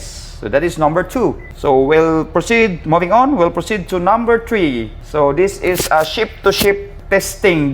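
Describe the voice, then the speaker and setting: A man with a Filipino accent giving an informal hands-on equipment tutorial, speaking haltingly and repeating himself as he addresses viewers casually. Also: on the high side. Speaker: seaman presenting a tutorial. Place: ship's bridge. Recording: clear ambient background noise.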